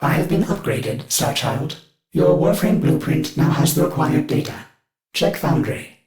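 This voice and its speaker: Formal upgraded AI, clipped, cold, measured slow delivery, echoey sound, video game dialogue. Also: male voice, on the high side, gravelly, darkish tone, faint music behind. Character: upgraded male ship AI, formal, cold, controlled.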